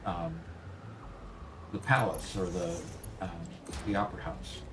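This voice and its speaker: A man's voice: low voice, lively intonation, measured pace, noisy background. A man co-hosting a gaming livestream, chatting casually, playful and easily flustered. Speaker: livestream co-host. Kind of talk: casual chat while gaming.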